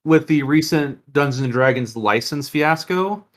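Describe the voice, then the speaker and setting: A man's voice: fairly high pitch, normal speed, fairly bright tone. Earnest man chatting on a podcast. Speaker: podcast co-host. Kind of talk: casual podcast discussion.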